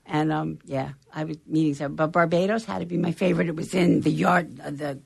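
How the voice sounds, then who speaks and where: A woman with a low, gravelly voice and a husky edge, chatting as a guest on a talk show. She tells personal stories with lively energy and a conversational flow. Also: brisk. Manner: animated storyteller, shares personal anecdotes. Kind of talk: casual chat.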